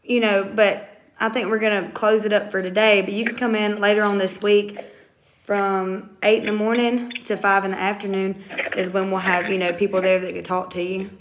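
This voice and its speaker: A woman's voice: mid-range voice, flat delivery, moderate pace, slight background noise. A young woman with a Southern US accent talking casually and politely, recorded on a phone at home. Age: young adult. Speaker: family vlogger making a prank call. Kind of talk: casual, polite phone talk and chat to camera. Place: small room at home. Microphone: phone at arm's length.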